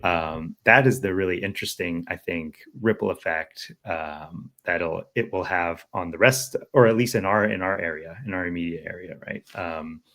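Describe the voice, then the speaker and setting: A man's voice: low-pitched, moderate pace, hardly any pauses, fairly bright tone. An American man co-hosting a podcast in casual political discussion, thinking aloud and often checking for agreement. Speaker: podcast co-host.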